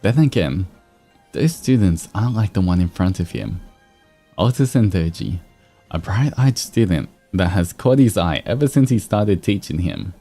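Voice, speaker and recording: A man's voice: low-pitched, big pitch swings, normal speed. A man hosting a livestream, moving between reading fan fiction and chatting casually with viewers. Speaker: VTuber streamer host. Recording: soft music behind. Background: background music.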